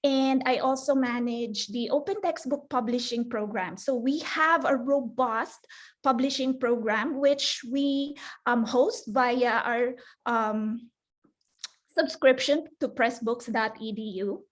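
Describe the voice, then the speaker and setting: A woman's voice: fairly high pitch, takes it slowly. A woman from the Philippines, likely in her forties, giving a conference talk as a librarian, hesitant with many fillers and restarts. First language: Filipino (Tagalog). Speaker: librarian presenter.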